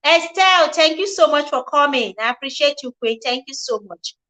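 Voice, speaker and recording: A woman's voice: high voice, expressive, normal speed, pushed and bright. A woman in her forties hosting a live relationship talk, speaking earnestly in a repetitive, preachy cadence and greeting viewers by name. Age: 40s. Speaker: relationship show host.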